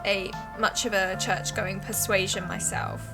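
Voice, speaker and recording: A woman's voice: medium pitch, level tone, a little slow, voice pushed down, close to an everyday voice, rough recording. A woman voicing a friendly, talkative small-town local in a visual novel, with a folksy manner. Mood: friendly, chatty. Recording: music playing behind the voice.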